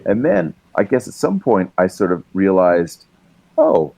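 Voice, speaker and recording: A man's voice: deep voice, sing-song, unhurried, gravelly, a little mellow, no echo. A middle-aged man from Canada, a podcast guest who tells rambling stories with lots of restarts and laughs, swearing now and then. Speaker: podcast guest, writer and musician. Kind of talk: casual chat, storytelling.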